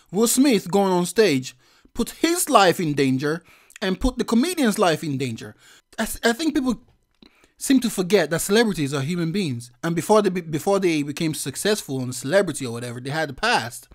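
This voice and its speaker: A man giving a profane, slangy reaction commentary as a vlogger, with loud laughing outbursts. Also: sits high, sing-song, brisk.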